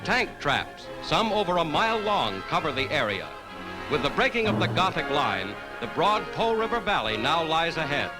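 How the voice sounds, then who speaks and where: Male newsreel narrator, 1940s: declamatory, slightly high-pitched, sweeping intonation, period film sound. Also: big pitch swings, normal speed, low-quality recording. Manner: formal, declamatory. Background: loud orchestral music.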